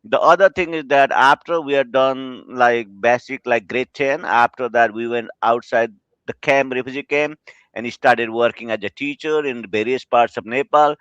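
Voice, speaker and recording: A man from Bhutan, an interpreter answering questions in a measured, earnest, explanatory way. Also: mid-range voice, fairly bright tone, clean tone, dry recording. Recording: dull, lo-fi call audio.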